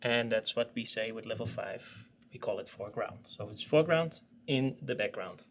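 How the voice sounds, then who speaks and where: A man explaining technical settings in an informal training session, haltingly, often repeating words as he searches for phrasing. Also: lowish voice, animated, a little hiss or hum. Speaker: product expert explaining software.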